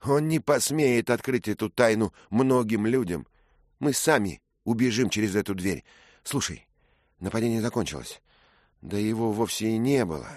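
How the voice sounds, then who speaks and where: A man's voice: lowish voice, animated, normal speed, no room sound, clean studio sound, voice pushed up, lightly acted. A man voicing an earnest, brave young squire who speaks with passion in an audiobook. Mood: resolute. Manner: impassioned, emphatic.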